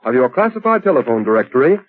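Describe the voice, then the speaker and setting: A man announcing an old-time radio commercial in a persuasive, emphatic manner. His voice is a little husky and swings widely in pitch. The broadcast sounds tinny and narrow. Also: very animated, fast. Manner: persuasive, emphatic salesman's delivery.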